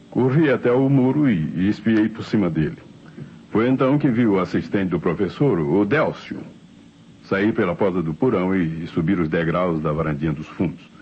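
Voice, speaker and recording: A man's voice: low-pitched, slightly rough. An actor playing a defensive married man giving hesitant, emphatic testimony in old radio drama style. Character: defensive married man, neighbour who saw a flying saucer. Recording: muffled, faintly noisy old radio recording.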